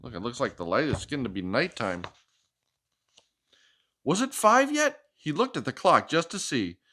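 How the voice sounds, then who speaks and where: A man's voice: medium pitch, big pitch swings, normal speed, pushed and bright. A man hosting a children's storytime, reading a picture book expressively with playful, chatty asides to a child. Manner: playful, asking questions and echoing the child's answers.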